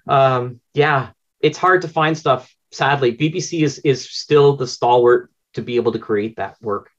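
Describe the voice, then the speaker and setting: A man's voice: medium pitch, unhurried. Enthusiastic Canadian man on a dull-sounding call. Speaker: interview guest, audio drama producer. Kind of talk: casual chat, telling anecdotes. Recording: slightly dull, lo-fi video call.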